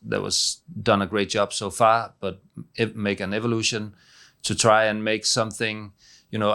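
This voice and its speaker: A middle-aged man with a Danish accent speaks in a very low, smooth, resonant voice. He is a head coach fielding reporters' questions, and he often pauses and restarts his sentences. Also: bright, forceful tone. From Denmark. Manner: halting, many fillers and restarts. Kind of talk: press conference answers.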